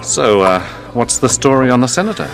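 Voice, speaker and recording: A man's voice: medium pitch, big pitch swings, fairly quick, over music, low-quality recording. A man playing an observant, gossipy hotel bartender in a radio drama, chatty and insinuating. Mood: curious, teasing. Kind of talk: detective radio drama acting.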